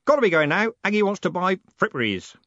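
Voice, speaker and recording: A man doing a booming old merchant. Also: big pitch swings, fast, a bit bright, no room sound, lo-fi sound. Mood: booming hearty. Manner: bellowing, booming. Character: loud blunt old male merchant, hearty.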